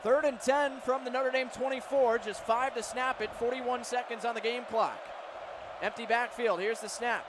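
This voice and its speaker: A man doing live football play-by-play for radio, giving crisp, detailed calls of each situation. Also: very high-pitched, fairly even intonation, moderate pace. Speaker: play-by-play announcer. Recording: clear stadium noise behind.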